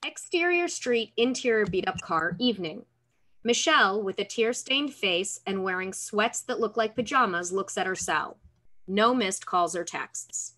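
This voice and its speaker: Bright-voiced woman reading stage directions. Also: medium pitch, animated, a little slow. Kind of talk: scripted narration. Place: room at home, on a video call.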